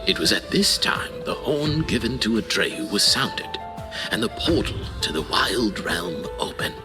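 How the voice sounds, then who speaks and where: A man's voice: on the low side, very animated, unhurried, music in the background. An actor playing an old male archivist who tells war history in a theatrical, conversational storytelling style for an audio drama. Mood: solemn storytelling.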